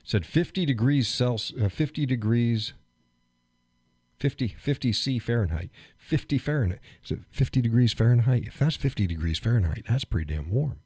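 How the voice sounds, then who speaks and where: A man hosting a live stream, chatting casually and rambling with fillers and chuckles, phlegmy and clearing his throat. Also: medium pitch, expressive, normal speed, a little mellow, no echo. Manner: rambling, chuckling, thinks aloud with many fillers. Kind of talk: casual chat reading viewer comments.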